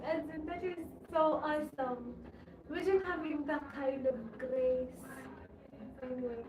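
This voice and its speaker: A woman with a Kenyan accent chatting casually to livestream viewers, rambling and repeating her phrases with rhetorical questions. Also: high voice. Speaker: livestream host talking to her subscribers. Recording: echoey, distant mic, loud background noise.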